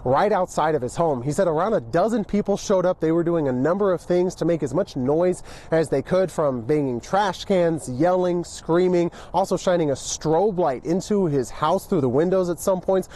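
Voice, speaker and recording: A male field reporter recounts what he learned in a fairly quick, conversational way, his voice a little high for a man. It sounds like a live news segment. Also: warm tone.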